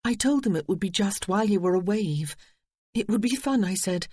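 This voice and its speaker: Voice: mid-range voice, animated, fairly quick, a little mellow, slightly rough, dry recording, clean studio sound, pitched above the performer's own voice. A narrator voicing an anxious young lady companion, explaining in a breathless rush.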